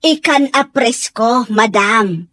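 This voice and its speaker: A woman's voice: on the high side, lively intonation, takes it slowly, a bit bright, no echo, studio quality. A woman with an Ilocano accent acting a fish hawker in a radio drama. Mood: coaxing, sales patter.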